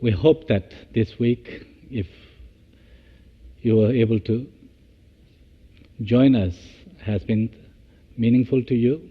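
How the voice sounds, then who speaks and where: A man leading a guided meditation, speaking in measured, halting short phrases with long pauses. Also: medium pitch, breathy edge, long reverb tail, dull audio. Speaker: meditation teacher.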